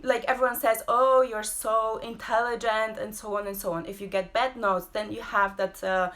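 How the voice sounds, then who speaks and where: A woman, hosting a casual live-streamed talk from home, pausing often with fillers. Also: fairly high pitch, steady tone, a bit bright. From Poland. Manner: hesitant, many fillers and pauses. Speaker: host and abstract painter.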